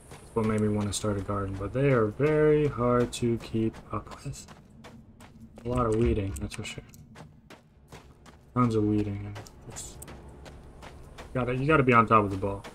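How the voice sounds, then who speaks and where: A young man casually commenting as he plays a video game, musing quietly to himself. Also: normal speed, pauses often, a little hiss or hum. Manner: mumbled, low-key musing to himself. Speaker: gaming streamer. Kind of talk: relaxed let's-play commentary.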